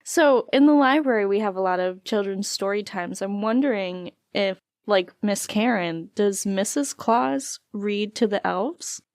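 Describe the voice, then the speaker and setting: Library podcast lady asking fun questions, encouraging, bit of fry, dead dry sound. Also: medium pitch, unhurried, hardly any pauses, dry recording. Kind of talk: upbeat interview questions.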